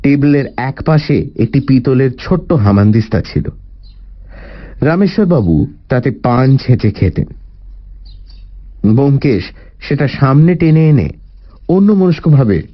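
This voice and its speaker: A man giving an expressive scripted narration of a detective story. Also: medium pitch, muffled recording. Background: background music.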